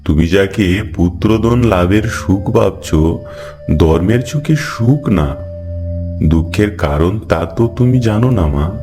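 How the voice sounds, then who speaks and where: A man voicing a god disguised as a wise Brahmin, preaching solemnly and gently in a cartoon folk tale. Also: fairly low pitch, lively intonation, over music. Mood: consoling. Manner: measured, sermonizing, gentle address. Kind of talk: Bengali moral folk-tale cartoon dub.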